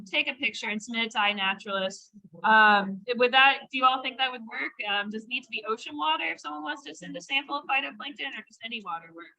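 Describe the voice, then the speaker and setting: Young woman hosting a video call, upbeat and bubbly, bright higher voice. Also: low-quality recording. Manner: enthusiastic, upbeat. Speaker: interview host. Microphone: laptop mic on video call.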